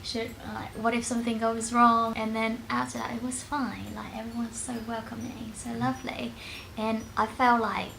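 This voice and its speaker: Vietnamese-accented young woman, flat creaky voice. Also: fairly high pitch, moderate pace, a little hiss or hum. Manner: chatty, acts out her own worried questions. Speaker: vlogger. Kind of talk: casual couple Q&A chat. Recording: background music under the talk.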